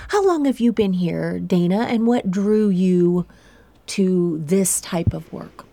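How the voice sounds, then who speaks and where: A woman's voice: lowish voice, lively intonation, takes it slowly, vocal fry, no room sound. A woman co-hosting a radio show, chatty and friendly, interviewing a guest inside a planetarium. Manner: chatty, hushed at times. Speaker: radio co-host. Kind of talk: casual interview talk. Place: planetarium dome.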